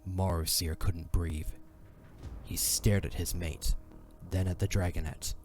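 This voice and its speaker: A man with a low voice narrates a scripted audio drama. He delivers it as storytelling, with faint music playing underneath. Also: fairly even intonation, unhurried, a little mellow.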